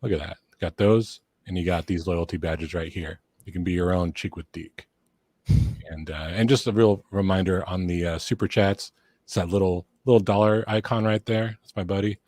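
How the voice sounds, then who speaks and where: A man's voice: sits low, fairly even intonation, normal speed, a little mellow, no room sound. A man on a casual live chat show, laid-back, explaining the stream's features step by step.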